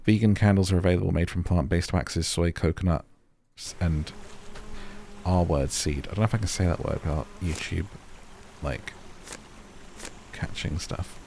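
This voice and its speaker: A man's voice: sits low, normal speed, vocal fry, long pauses, mellow, slight background noise. A man doing casual gaming commentary, chatting and musing to himself and to viewers as he plays and reads out on-screen text. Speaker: gaming video host.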